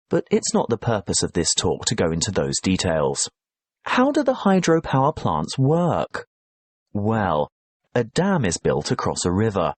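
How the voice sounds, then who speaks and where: Very animated male test narrator, dry sound. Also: fairly low pitch, dry recording. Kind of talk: scripted educational narration.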